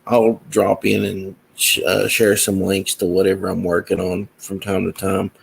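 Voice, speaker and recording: A man's voice: low voice, flat delivery, normal speed, gravelly, a little hiss or hum, rough recording. A man talking casually to his viewers about his music and projects in a rambling, meandering way with many fillers. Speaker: musician and comic creator. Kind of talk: casual talk to camera.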